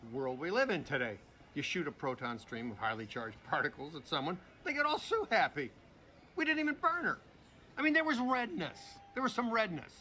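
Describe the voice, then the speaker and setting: Wry ghost hunter, lively pitch. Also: male voice, brisk, a little hiss or hum. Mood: aggrieved, wry. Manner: deadpan, wisecracking. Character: wry, sardonic, flirtatious male ghost hunter. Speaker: video game voice actor. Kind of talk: comic game dialogue. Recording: loud music behind the voice.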